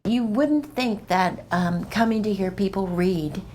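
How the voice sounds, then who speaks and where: A woman's voice: medium pitch, a little slow, darkish tone, a little hiss or hum. A woman giving an unscripted interview answer.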